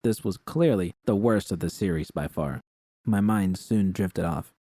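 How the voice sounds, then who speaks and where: A man narrates first-person fiction in a low, dark voice. It is a scripted audiobook read with a close, dry sound and no room around it. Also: unhurried, dry recording.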